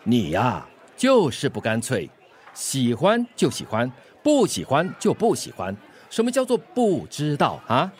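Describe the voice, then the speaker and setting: A man with a Singaporean accent playing a nosy, jovial, worldly-wise uncle in a radio drama, teasing with drawn-out exclamations. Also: medium pitch, huge pitch swings. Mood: blunt, teasing.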